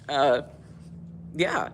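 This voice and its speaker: An older woman hosting a casual livestream chat in a library, chatty and self-mocking, quick to laugh at herself. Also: low-pitched, bright, forceful tone, slight background noise. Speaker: livestream host. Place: public library.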